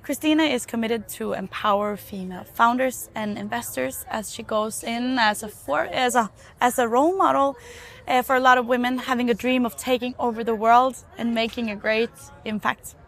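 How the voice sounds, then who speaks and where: A woman with a fairly high voice hosts an interview podcast. She presents and questions her guest in the role of interviewer. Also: normal speed, fairly bright tone.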